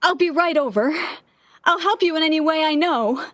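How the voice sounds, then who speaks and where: Actress playing scheming lovestruck woman, high voice, theatrical, 1940s radio acting, close dry sound. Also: fairly bright tone, dry recording, dull audio. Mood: sweetly feigned sympathy. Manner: theatrical, deliberate. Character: Anne, obsessed, coldly manipulative woman in love.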